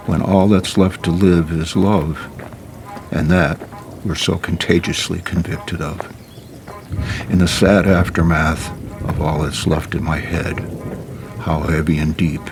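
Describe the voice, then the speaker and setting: A man reading spoken-word poetry in a solemn, measured, deliberate way, recorded in a studio. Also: deep voice, slight background noise. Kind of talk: dramatic poetry recitation. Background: music.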